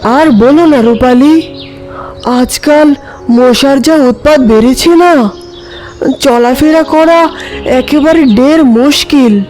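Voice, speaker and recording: A woman's voice: high voice, takes it slowly, long pauses, darkish tone, soft background music. A woman voicing a friendly, concerned kite bird in a cartoon, folksy and inquisitive.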